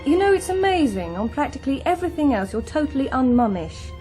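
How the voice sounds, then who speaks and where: An actress playing a breezy, defiant young British daughter in a radio drama, flippant, evasive and offhand. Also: female voice, high voice, big pitch swings, fast talker, over music. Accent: British English. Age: young adult.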